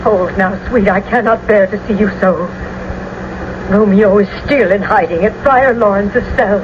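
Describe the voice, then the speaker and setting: An actress playing a fussy, doting, grieving older nursemaid in a theatrical radio drama on an old broadcast recording. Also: female voice, medium pitch, unhurried, muffled recording, noisy background, close to an everyday voice. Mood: grieving, soothing.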